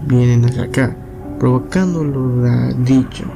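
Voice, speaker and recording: A man's voice: mid-range voice, unhurried, vocal fry, music in the background, rough recording. A man narrating as a video host in a scripted commentary style. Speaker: YouTube host.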